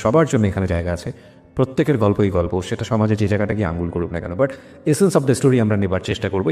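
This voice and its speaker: Bangladeshi guy hosting an interview, really mellow voice, long echo, drops in english phrases. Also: fairly low pitch. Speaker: host. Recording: long reverb, music behind. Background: music.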